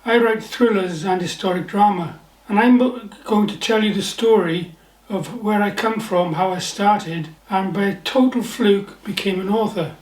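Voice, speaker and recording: An older man from the Welsh Valleys with a Welsh accent, an author telling stories from his past in a relaxed, wry, anecdotal way. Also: high-pitched, measured pace. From South Wales Valleys. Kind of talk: personal storytelling to camera. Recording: echoey room.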